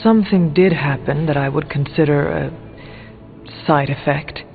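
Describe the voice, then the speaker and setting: Casting: a reserved, formal young game hero, earnest and understated, muffled with reverberant tail. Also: male voice, a little high, moderate pace, vocal fry. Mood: hesitant. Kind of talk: video game voice acting. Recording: muffled, long hall-like reverb, faint music. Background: faint music.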